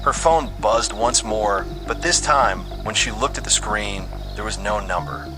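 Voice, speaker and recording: A man's voice: lowish voice, measured pace, poor audio. A man reading a scripted horror story with dramatic, suspenseful delivery. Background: music under the voice.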